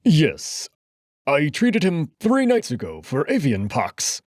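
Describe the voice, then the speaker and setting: A nervous old wolf doctor, voiced by a man, timid and stammering in a visual novel. His voice is pushed up high and frightened, hesitating as he pleads. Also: wildly swooping pitch, slow, a little mellow, no echo, studio quality, heavily acted.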